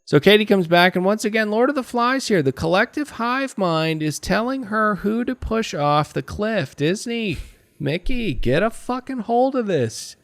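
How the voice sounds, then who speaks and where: Male podcast co-host, casual comic recapper: sardonic and profane, very lively intonation, close dry sound. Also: sits high, moderate pace, no echo. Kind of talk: casual comedic recap chat.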